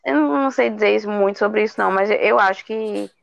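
A singer from Recife, chatting easily as a podcast guest. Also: female voice, mid-range voice, fast talker, vocal fry, no room sound, dull audio. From Recife, Brazil. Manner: relaxed, says "menino" and "sabe?". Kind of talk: casual interview chat.

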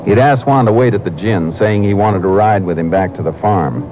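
A man plays a Texas Ranger in a 1950s radio crime drama. His voice is very low, laconic and matter-of-fact, with calm authority. The vintage broadcast has clear noise. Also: moderate pace, muffled recording, close to an everyday voice. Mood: measured. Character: calm, firm, dry male Texas Ranger.

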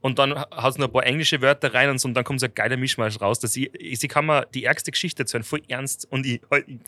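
Bright-voiced laughing Austrian podcast host. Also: male voice, mid-range voice, fairly even intonation, quick pace, no room sound. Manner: laughs often, swears casually, loose rambling. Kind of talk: casual chat.

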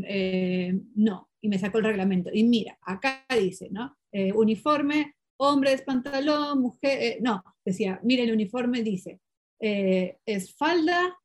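Chatty woman telling stories. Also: mid-range voice. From Peru. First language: Portuguese. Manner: lively, animated, many fillers and restarts. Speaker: interview guest. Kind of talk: casual conversational storytelling.

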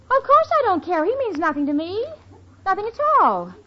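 Exasperated, wry young American roommate: lively swooping delivery, vintage radio comedy, muffled sound. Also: female voice, very high-pitched, normal speed, a little hiss or hum. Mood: indignant. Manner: wry, sarcastic, emphatic. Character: quick-witted, exasperated young single woman, sitcom roommate. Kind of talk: 1940s-50s radio comedy acting. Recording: dull old-time radio recording.

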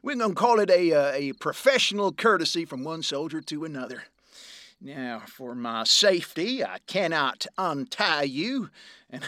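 An actor playing a brash, slippery Confederate veteran turned robber, with folksy, excitable, rambling talk in a Western audio drama. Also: male voice, mid-range voice, dry recording, clean studio sound, close to an everyday voice.

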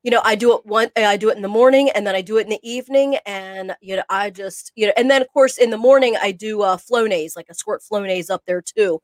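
A woman's voice: mid-range voice, fast, few pauses, no room sound. A woman co-hosting a casual podcast chat, rambling and often groping for words. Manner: rambling, hunts for words, many fillers. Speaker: podcast co-host.

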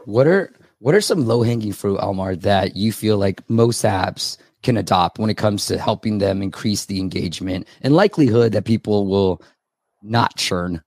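A man hosting a podcast with a raspy voice, talking in an upbeat, chatty way with quick asides. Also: on the low side, a little mellow, no echo, clean studio sound. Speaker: podcast host. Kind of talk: casual interview.

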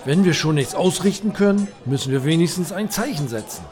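Man acting a stern, animated heroic companion. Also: on the high side, a little fast, soft background music, lightly acted. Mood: rallying. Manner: commanding, declamatory. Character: stern, resolute male knight-like companion. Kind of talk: comedic fantasy audio drama acting.

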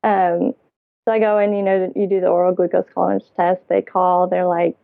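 A woman's voice: lowish voice, flat delivery, fairly quick, gravelly. Woman in her thirties on a phone line. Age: 30s. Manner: chatty, laughs often while telling stories. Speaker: podcast guest. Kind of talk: casual interview chat.

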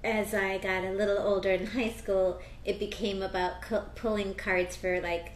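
An American woman speaking as an astrologer and tarot reader, chatting casually in an interview with bursts of excitement. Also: medium pitch, echoey room, a little hiss or hum. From United States. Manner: animated, quick bursts of excitement.

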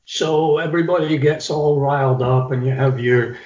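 A man's voice: on the high side, steady tone, moderate pace, a little mellow. Middle-aged man reminiscing. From England. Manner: storytelling, reflective, with some restarts. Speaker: guest. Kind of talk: reminiscing interview talk. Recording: echoey, slightly dull recording.

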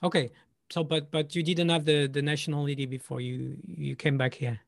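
A man's voice: fairly high pitch, little pitch movement, brisk, gravelly, no echo, clean studio sound. A man hosting a podcast interview, asking questions with hesitant repeated words. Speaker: podcast host.

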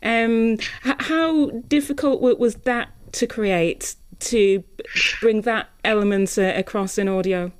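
Smooth-voiced woman interviewer. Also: on the high side, a little slow, darkish tone. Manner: hesitant, searching phrasing with many repeats. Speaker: radio interviewer. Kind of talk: casual interview chat.

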